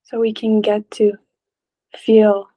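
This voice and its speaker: A woman leading a guided meditation in a gentle, calm, unhurried way, leaving long pauses between short phrases. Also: medium pitch. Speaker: meditation teacher.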